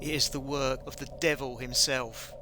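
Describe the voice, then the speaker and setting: A man's voice: mid-range voice, steady tone, moderate pace, noisy background, lightly acted. An actor playing a grave, ominous Victorian police inspector in an audio play, a man speaking solemnly. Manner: solemn, warning. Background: music.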